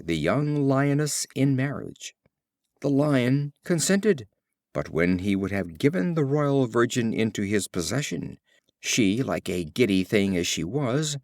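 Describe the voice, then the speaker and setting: Male storybook reader, animated intonation, dry close sound, deliberate and expressive delivery. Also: mid-range voice, no echo. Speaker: audiobook reader. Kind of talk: scripted storybook narration of fables.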